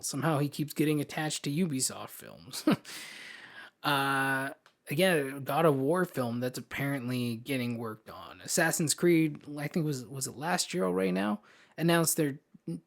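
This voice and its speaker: A man hosting a podcast, chatting casually and informally about films, with slangy phrasing and some mild swearing. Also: medium pitch. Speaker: podcast host. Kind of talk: casual film podcast chat.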